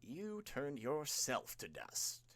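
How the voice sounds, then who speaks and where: A man performs a villain of living dark mist from a narrated story. The speech is formal and measured. It carries a cool, condescending mockery. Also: medium pitch, huge pitch swings, voice pushed up, heavily acted. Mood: mocking.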